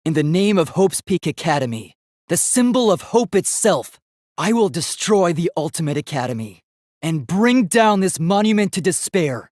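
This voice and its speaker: K1-B0, an animated, earnest young robot. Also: male voice, sits high, moderate pace, dry recording, clean studio sound. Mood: heroic, determined. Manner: formal, precise, literal-minded phrasing. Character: earnest, polite young male robot, naive but resolute. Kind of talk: video game voice acting.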